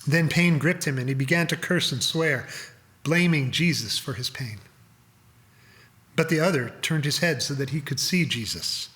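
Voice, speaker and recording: A man giving a solemn, dramatic scripted reading as a church reader, with measured, deliberate phrasing. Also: fairly high pitch, sharp tone.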